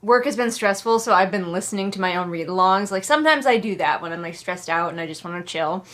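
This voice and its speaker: A woman's voice: on the low side, lively intonation, fast, fairly bright tone. A woman giving casual, comedic book commentary as host, with an exasperated, sarcastic and emphatic way of talking. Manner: acts out characters in mocking asides. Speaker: host of a book readalong vlog.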